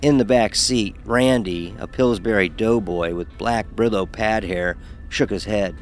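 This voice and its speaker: A low-voiced man acting as a young fraternity pledge tells his story in an audio horror drama. He is wry and sardonic, and music plays quietly beneath him. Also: unhurried, close to an everyday voice. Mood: wry storytelling. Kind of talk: scripted first-person horror narration with dialogue.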